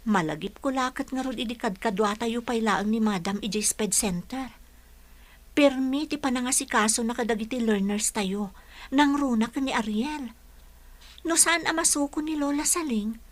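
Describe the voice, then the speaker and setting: A woman's voice: on the high side, moderate pace, natural voice. A woman playing a caring, thoughtful teacher and friend in a radio drama, with an earnest manner. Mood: concerned, reflective. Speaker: voice actor.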